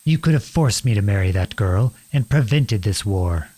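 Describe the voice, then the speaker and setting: A man playing a defiant young outlawed prince in a dramatic audio roleplay, speaking earnestly and deliberately in a husky voice. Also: lowish voice, animated, mellow. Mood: hurt, accusing. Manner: earnest, deliberate, pleading and accusing. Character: defiant outlawed young prince confronting his cruel king father.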